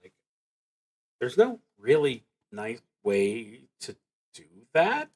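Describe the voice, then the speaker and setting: A man streaming a solo tabletop roleplaying game, musing aloud in a halting way and reading out prompts as he plays. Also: mid-range voice, wildly swooping pitch, darkish tone. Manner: halting, musing, pauses mid-sentence.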